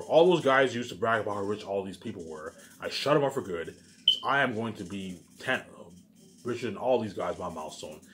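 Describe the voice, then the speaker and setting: A man speaks very quickly in a fairly low voice, rambling informally to his viewers. He circles back over the same phrases in an insistent, boastful way. There is noticeable noise behind him. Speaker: video maker talking to viewers. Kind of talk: rambling casual monologue to camera.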